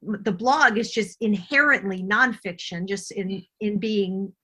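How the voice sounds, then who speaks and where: A woman's voice: mid-range voice, measured pace, bright, forceful tone. A middle-aged woman answering interview questions as a guest author, explaining herself in a reflective, conversational way.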